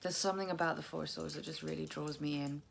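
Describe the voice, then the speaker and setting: A low-pitched young woman with a croaky, gravelly edge from tiredness. She rambles casually to camera and laughs at her own over-explaining. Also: steady tone, quick pace, a little hiss or hum. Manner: chatty, self-deprecating, rambling. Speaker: tarot vlogger.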